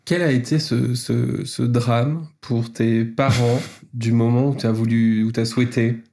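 A man's voice: medium pitch, fairly even intonation, a little slow, vocal fry, dark tone. A man hosting a podcast interview, playful and teasing, fond of flowery introductions. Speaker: podcast host.

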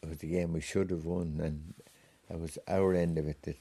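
A man's voice: low voice, level tone, moderate pace, dark tone, husky. A man in his eighties with a rural Wexford Irish accent, reminiscing in an interview as a former hurler. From County Wexford, Ireland. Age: 80s.

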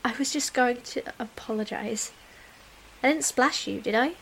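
A woman's voice: on the high side, normal speed, creaky, a little hiss or hum. A woman playing a kindly, playful halfling adventurer in a fantasy audio drama. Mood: sheepish.

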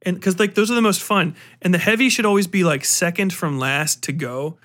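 Profane, animated man with a higher-pitched voice. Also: a little fast. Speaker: podcast host. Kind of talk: casual rambling film commentary.

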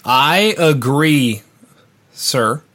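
A man with a medium-pitched voice hosts an interview podcast. His delivery is casual yet measured, with deliberate phrasing. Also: expressive, a bit bright. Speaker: podcast host.

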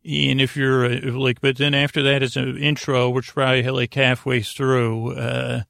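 A man with a creaky voice hosting a sleep podcast, rambling in a halting, meandering, self-deprecating way and drifting into tangents. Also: medium pitch, little pitch movement, a bit bright, no room sound, clean studio sound. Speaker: podcast host.

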